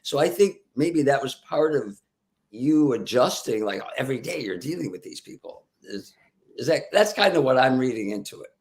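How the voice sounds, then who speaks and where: A man hosting a casual conversation, talking in a relaxed way with frequent "you know"s. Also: mid-range voice, normal speed. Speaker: host.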